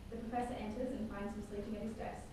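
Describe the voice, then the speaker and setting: A woman's voice: mid-range voice, fairly even intonation, racing. A woman reading scripted stage directions aloud at an informal table read, plainly, with occasional stumbles over words. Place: indoor room at a group table read. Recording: echoey room, distant mic, clear noise.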